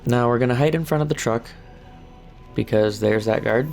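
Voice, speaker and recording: A hoarse man giving calm step-by-step commentary through a stealth game walkthrough. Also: mid-range voice, normal speed, soft background music. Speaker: gameplay walkthrough narrator.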